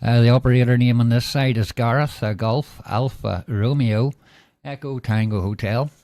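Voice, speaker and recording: A Northern Irish man chatting over the radio as an operator, measured and full of fillers, closing each turn with "go ahead". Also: mid-range voice, steady tone, mellow, no room sound. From Northern Ireland. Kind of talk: casual radio chat.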